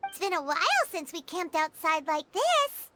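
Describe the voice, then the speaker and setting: A tiny, sleepy, childlike companion creature. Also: female voice, extremely high pitch, big pitch swings, moderate pace, very noisy. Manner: refers to herself in the third person. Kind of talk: video game voice acting.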